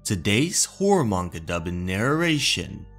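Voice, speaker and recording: A man narrating a scripted horror story as host, deliberate, with dramatic pauses. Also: fairly low pitch, big pitch swings, fairly bright tone, faint music behind.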